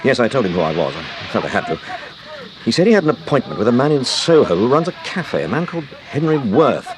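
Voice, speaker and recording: Animated, urbane British gentleman detective, played by an actor. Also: medium pitch, fast talker, lightly acted. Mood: grave. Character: calm, urbane, protective English gentleman detective husband. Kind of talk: BBC radio drama acting. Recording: noisy radio drama with sound effects.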